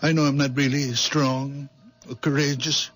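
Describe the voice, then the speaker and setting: An actor playing a gentle, troubled very old man in a radio drama. He stammers and apologizes, halting and earnest. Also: mid-range voice, level tone, faint music behind. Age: older. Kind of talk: 1970s radio drama acting.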